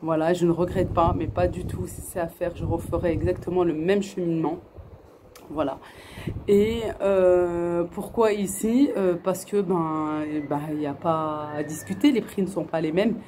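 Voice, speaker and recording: A woman's voice: lowish voice, fairly even intonation, moderate pace, warm tone, a little hiss or hum. A middle-aged woman from France, chatting earnestly to camera and answering viewers' questions, with many fillers and restarts. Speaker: family vlogger. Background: faint outdoor ambience.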